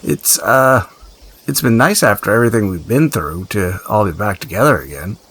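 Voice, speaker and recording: An actor playing a practical, blunt but uneasy survivor and tinkerer in an audio drama, talking in a halting, gruffly casual, profane way. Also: male voice, fairly low pitch, animated, fairly bright tone, heavily acted. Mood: businesslike. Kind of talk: audio drama dialogue, naturalistic.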